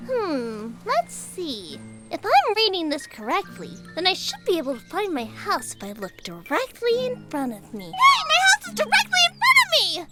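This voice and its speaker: A woman voicing a cheerful, naive ten-year-old girl in a perky, earnest, expressive cartoon parody dub. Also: extremely high pitch, normal speed, over loud music, exaggerated voice. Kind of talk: animated parody dub acting.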